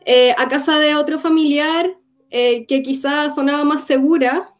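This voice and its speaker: A young woman from Gaza giving an earnest, reflective personal testimony in an interview, often pausing to recall. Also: high voice, steady tone, faint music behind. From Gaza, Palestine.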